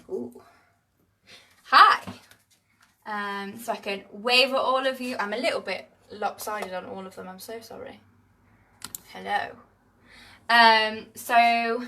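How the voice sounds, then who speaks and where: A woman storyteller speaking to children in an animated, expressive way with dramatic pauses, recorded on a livestream. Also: a little high, far from the mic. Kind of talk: expressive picture-book reading and chat to young viewers. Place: livestream on several screens.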